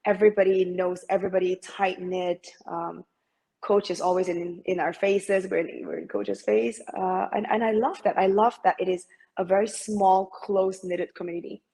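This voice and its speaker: A woman's voice: medium pitch, fairly even intonation, moderate pace, vocal fry, dark tone. A middle-aged woman from Malaysia, a masters swimmer talking as an interview guest, hesitant with many fillers and restarts. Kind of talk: interview conversation.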